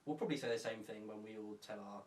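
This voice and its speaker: A man's voice: lowish voice, racing, darkish tone, far from the mic, a little hiss or hum. A young man co-hosting a casual podcast, chatty and self-mocking, rambling with lots of fillers. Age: young adult. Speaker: podcast co-host. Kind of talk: casual chat. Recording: roomy, from off-mic.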